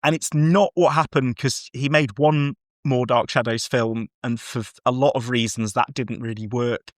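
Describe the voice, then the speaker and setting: A man's voice: mid-range voice, steady tone, moderate pace, no echo. Male film podcaster, mid-range voice, casual, enthusiastic and sweary delivery, close dry sound. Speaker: podcast co-host. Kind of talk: casual film discussion chat.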